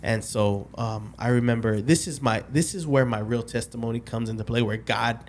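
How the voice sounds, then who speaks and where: A man's voice: medium pitch, normal speed, a little hiss or hum. A man in his late twenties telling a personal story as a guest on a casual podcast, rambling, restarting often and losing track of the dates.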